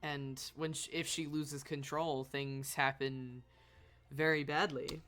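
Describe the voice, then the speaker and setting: A woman's voice: low-pitched, fairly even intonation, takes it slowly, fairly bright tone. A woman streamer giving casual, blunt live commentary on a video game. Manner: reacts bluntly, talks to the game characters. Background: faint game music.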